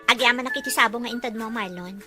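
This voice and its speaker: Babai nga agak-akto iti naemma a balasang, nangato timekna. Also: female voice, on the high side, quick pace, over music, lightly acted. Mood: shy, softening. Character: guarded, modest young working woman. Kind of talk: Ilocano audio drama acting.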